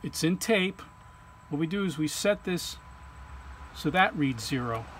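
A man with a fairly high-set voice walks through a technical procedure. He is plain-spoken and informal, going one step at a time like a technician showing a learner. There is noticeable ambient noise behind him. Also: expressive, unhurried, a bit bright. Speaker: technician demonstrating equipment calibration. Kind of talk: informal hands-on tutorial. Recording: clear background hum or ambient noise.

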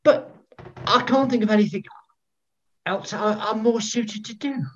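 An older man acting a pompous, eccentric veteran football referee in a filmed comedy, speaking in a deliberate, theatrical, self-important way. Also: sits high, slight background noise. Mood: wounded, indignant. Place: room at home, on a video call. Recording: dull lo-fi video call sound.

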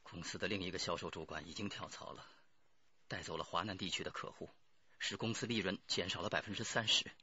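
An actor playing a defiant, cornered murder plotter under interrogation in a radio drama, speaking deliberately. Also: male voice, deep voice, bright, forceful tone, slightly rough, lo-fi sound, full character voice. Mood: confessing.

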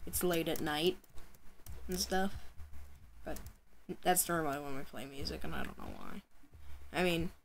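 Young woman, low voice, slow unhurried talk, playful gaming chat, tired late-night voice, faint keyboard clicks. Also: huge pitch swings, creaky, a little hiss or hum. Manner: chatty and playful, yelps when panicked. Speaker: gaming channel host. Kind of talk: casual gameplay commentary. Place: home room at night.

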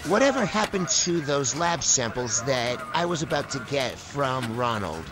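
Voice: medium pitch, big pitch swings, moderate pace, over music, lightly acted, low-quality recording. A performer voicing a grumbling but loyal male police colleague in an audio roleplay.

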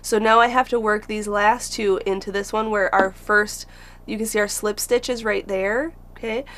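A woman's voice: mid-range voice, fairly even intonation, normal speed, fairly bright tone. A woman teaching crochet step by step, patiently counting stitches aloud as she works.

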